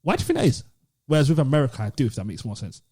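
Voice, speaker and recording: A man's voice: mid-range voice, wildly swooping pitch, racing, mellow, husky. A British man co-hosting a podcast, chatting informally in slangy, opinionated style. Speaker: podcast host. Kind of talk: casual chat.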